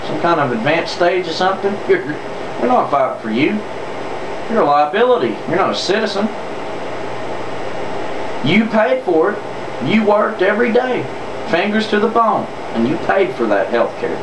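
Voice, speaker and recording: A man's voice: sits high, very animated, normal speed, pauses often. A man with a Southern US accent delivering an off-the-cuff political monologue in a sarcastic, emphatic way, repeating phrases for effect. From southern United States. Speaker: political vlogger. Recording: roomy, distant mic, noticeable air-conditioner-like noise.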